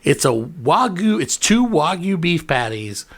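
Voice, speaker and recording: A man's voice: on the high side, measured pace. A man with a Southern American accent co-hosting a comedy podcast, joking and rambling in casual banter. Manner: animated, joking, rambling with restarts. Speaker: podcast co-host.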